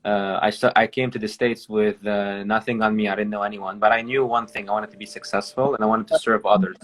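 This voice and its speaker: A man from Lebanon with a Lebanese accent, a guest talking over a video call, mixing English and Arabic with many pauses. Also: on the low side, steady tone, rough recording. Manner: switches between English and Arabic mid-sentence; talks with many hesitations and restarts. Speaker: podcast guest. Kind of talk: casual interview over a call.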